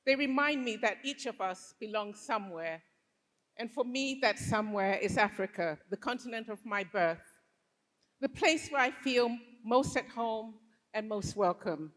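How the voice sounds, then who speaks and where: A woman's voice: a little high, expressive, a little slow, fairly bright tone. A woman from Liberia telling a true story to a live audience, reflective and earnest. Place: stage before a live audience. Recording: long hall reverb on stage.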